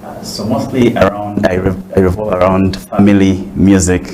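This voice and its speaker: A man's voice: low-pitched, normal speed. A man in his forties with a Ugandan English accent, a technology executive talking easily about himself as an interview guest. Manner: relaxed, self-aware, with little restarts. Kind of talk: interview conversation.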